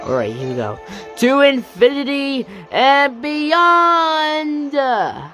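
A gaming channel host giving a casual video game tour, thinking aloud in an exclamatory, animated way. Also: high-pitched, slow, faint music behind.

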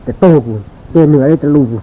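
A man from northern Thailand, a native Karen speaker, telling a folktale aloud in an informal oral storytelling style. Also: quick pace. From Li district, Lamphun, northern Thailand. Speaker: folk storyteller. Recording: dull lo-fi recording with insect-like background noise.

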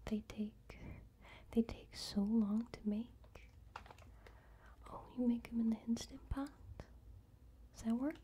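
A woman whispering softly as a cashier in an ASMR roleplay, her tone very dark and mellow. She keeps her voice flat and unhurried, chatting gently across a table in a quiet indoor room. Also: a little high, a little hiss or hum. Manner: soft-spoken, mostly whispering; friendly chatty shop-counter small talk. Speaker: ASMR creator playing a cashier.

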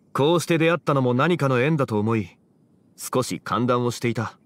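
An actor playing a calm, wise, courteous gentleman in a game drama, speaking in a composed, old-fashioned formal way. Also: mid-range voice, unhurried. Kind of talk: Japanese anime game dialogue.

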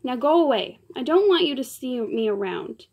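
A woman's voice: sits high, very animated, vocal fry, dull audio, full character voice. A woman reading aloud voices a temperamental young actress who bursts into tearful, angry outbursts. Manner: tearful, pleading, quick to outbursts.

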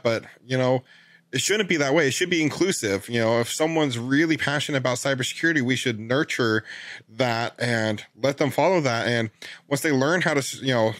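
A man's voice: mid-range voice, dry recording. Bearded podcast guy in his 30s, talks loose with lots of fillers, dead dry mic. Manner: many fillers, loose and relaxed. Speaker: podcast host. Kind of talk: casual interview chat. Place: home studio with lights and posters.

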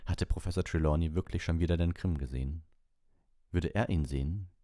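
Low mellow guy reading an audiobook story, dry sound. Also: brisk, dry recording. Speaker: audiobook narrator. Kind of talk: scripted storybook narration.

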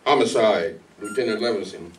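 A man's voice: lowish voice, normal speed, close to an everyday voice. A man playing a matter-of-fact homicide lieutenant in an old-time radio mystery. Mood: dry, official. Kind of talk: 1940s radio acting. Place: meeting room stage before an audience. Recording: distant, noisy live room recording.

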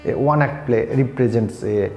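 A man in his forties with an Indian Bengali accent delivers a scripted literature lecture in a formal, deliberate, halting way. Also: mid-range voice, dark tone, soft background music. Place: small bare-walled indoor room. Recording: echoey room.

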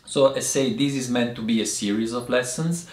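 A man in his thirties with a strong foreign accent, giving a casual video lesson on tea and talking in halting bursts with many restarts. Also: medium pitch, darkish tone, roomy sound. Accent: foreign accent in English. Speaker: tea teacher. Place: small room indoors by a lamp.

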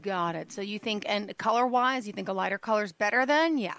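A woman's voice: medium pitch, a little fast, background noise. A woman hosting a podcast interview, asking friendly follow-up questions. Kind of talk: casual interview.

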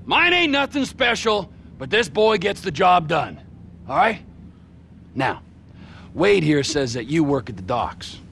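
An actor playing a volatile, crude middle-aged male criminal in a video game, mocking and domineering, swinging from mock-gentle to barking. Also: on the high side, sing-song, unhurried, gravelly, slight background noise, exaggerated voice. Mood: menacing, commanding. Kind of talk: video game cutscene acting.

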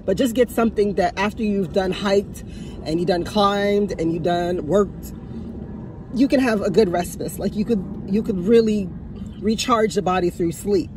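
A woman's voice: mid-range voice, normal speed, background noise. A woman in her fifties giving casual advice, talking into a phone held at arm's length in a parked car. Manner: chatty, chuckling, repeats for emphasis. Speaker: vlogger. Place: parked car, sunny day.

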